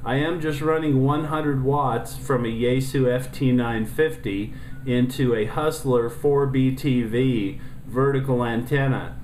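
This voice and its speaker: An American man making a casual amateur radio contact, speaking in a measured, courteous way. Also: mid-range voice, a little mellow. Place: indoor room beside a transceiver. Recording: roomy sound with faint noise.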